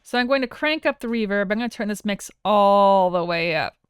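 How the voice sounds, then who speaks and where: A woman instructor narrating a software tutorial in a casual, informal way with wry asides, recorded as a voice-over. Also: mid-range voice, expressive, brisk, clear, resonant tone, dry recording, clean studio sound. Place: close, dry voice-over for a screen recording.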